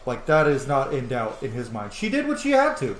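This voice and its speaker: A man's voice: mid-range voice, huge pitch swings, a little fast. A man giving casual, rambling commentary while playing a roleplay game, chatty and full of fillers and asides. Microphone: camera setup at home. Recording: roomy echo.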